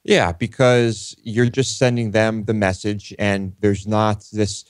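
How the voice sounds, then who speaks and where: A man with a low, smooth voice chats casually as a show's co-host. The sound around him is dry and close. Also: flat delivery, takes it slowly, no echo. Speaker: show co-host. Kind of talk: casual studio chat.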